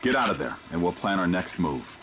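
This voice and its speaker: A calm, commanding mission handler. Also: male voice, deep voice, brisk, a little hiss or hum. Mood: steady guidance. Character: mysterious male handler guiding an agent by radio. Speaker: mission guide in a video game. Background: game score music.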